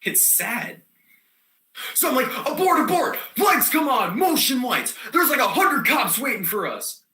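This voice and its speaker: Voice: medium pitch, very animated, normal speed, pushed and bright. An actor playing a scatterbrained, defiant theater student telling a comic monologue in slangy, rambling style, given to sudden outbursts. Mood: animated storytelling. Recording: echoey room.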